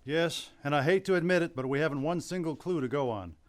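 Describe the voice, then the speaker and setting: A man playing a troubled police commissioner in a radio play, speaking briskly and gravely. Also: fairly high pitch, pitched below the performer's own voice, lightly acted.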